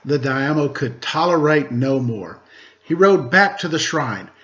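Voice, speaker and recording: A man tells a children's story with expressive narration. His voice is pitched slightly high. The recording sounds a bit dull and lo-fi. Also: measured pace.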